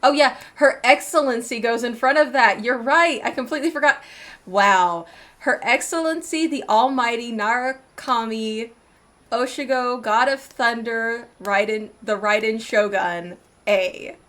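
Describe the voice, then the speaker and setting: A woman's voice: on the high side, measured pace, a bit bright. A woman giving casual, chatty video game playthrough commentary, thinking aloud over game music.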